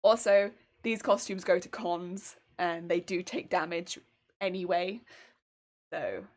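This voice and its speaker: A woman's voice: on the low side, lively intonation, takes it slowly. A young English woman with a faint Welsh tinge to her accent, chatting casually and giggling on a crafting livestream. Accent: English, slightly tinged with Welsh. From England. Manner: chatty, giggly, rambling with fillers. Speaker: cosplay streamer.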